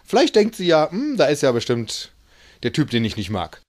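A man's voice: a little high, wildly swooping pitch, normal speed, darkish tone, dry recording. A man working as a falconer, giving casual, plain-spoken and playful explanations to children.

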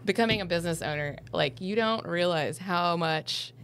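A woman's voice: lowish voice, moderate pace, fairly bright tone, a little hiss or hum. A woman in her thirties, a farm co-founder chatting casually on a podcast, full of fillers, on a studio mic. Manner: chatty, filler-heavy, laughs easily. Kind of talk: casual interview chat. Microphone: studio boom mic.

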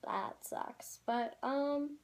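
A teenage girl chats to a camera in a small room at home, her voice sitting a little high. She rambles loosely, stringing thoughts together with "like" and apologizing as she goes. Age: teens. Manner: chatty, rambling, with many "like"s and quick apologies. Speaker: vlogger. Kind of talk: casual chat to camera.

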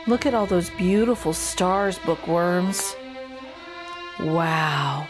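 A middle-aged woman hosting a children's storytime, reading a picture book aloud with expressive, exaggerated intonation. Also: fairly low pitch, extremely slow, music in the background. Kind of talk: animated read-aloud for young children.

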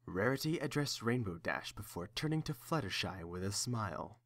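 A man's voice: lowish voice, lively intonation, moderate pace, a bit bright. A man reading scripted third-person story narration as the narrator of an audio drama.

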